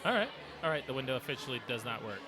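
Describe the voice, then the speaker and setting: A man's voice: mid-range voice, very animated, racing, bright, forceful tone, some chatter behind, a little hiss or hum, low-quality recording. A young man co-hosting a podcast, chatting and laughing over beers outdoors at a brewery. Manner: laughs often, jokey and chatty. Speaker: podcast co-host. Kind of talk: casual chat over beers.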